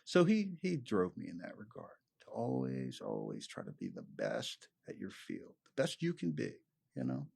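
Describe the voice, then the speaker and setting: A chiropractor chats on a podcast. His pitch swings widely as he talks, animated and full of "you know". He sounds relaxed and conversational. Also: normal speed, creaky, breathy edge. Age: 50s. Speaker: chiropractor guest.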